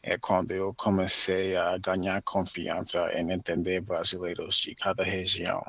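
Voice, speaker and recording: An American man from Maryland, a native English speaker, answering interview questions in a careful, measured way with frequent pauses as he searches for words. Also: low-pitched, monotone, gravelly, sharp tone, breathy edge, dry recording. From United States (Maryland). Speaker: interview guest, Portuguese learner. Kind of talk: podcast interview.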